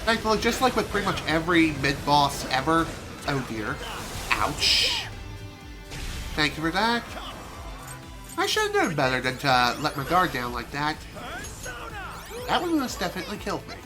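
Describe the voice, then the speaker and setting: A man streaming a video game, chatting casually and rambling with self-amused asides, his voice a little hoarse from allergies. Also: a little high, huge pitch swings, moderate pace, pauses often. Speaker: gaming streamer. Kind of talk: casual let's play commentary. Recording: game music behind voice. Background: game music.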